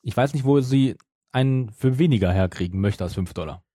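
A man's voice: mid-range voice, a little fast, dark tone, dry recording, studio quality. A man hosting a podcast, chatting casually in an argumentative, ironic way. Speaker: podcast host. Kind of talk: casual chat.

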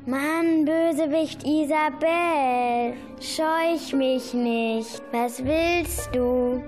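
A woman's voice: high-pitched, extremely slow, a little mellow, faint music behind. A young schoolgirl playing a grumpy, reluctant old ghost in an amateur radio play. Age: child. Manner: grumbling, put-upon.

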